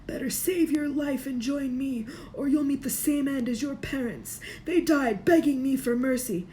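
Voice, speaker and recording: A woman voicing a cold, evil male dark wizard in a storybook reading, hissing and sneering. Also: high-pitched, moderate pace, voice pushed up, close to an everyday voice. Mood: menacing.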